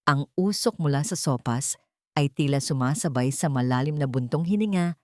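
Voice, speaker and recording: A woman's voice: low voice, moderate pace, dry recording, clean studio sound. A woman narrator reading a scripted romantic drama in a solemn, emotive storytelling style.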